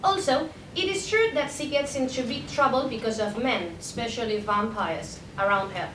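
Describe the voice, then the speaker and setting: High-voiced young woman, echoey room. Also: normal speed. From Spain. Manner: reading from a prepared text with occasional stumbles. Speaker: academic presenter, PhD candidate. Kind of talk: conference paper read aloud. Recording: echoey room, distant mic, faint rumbling noise.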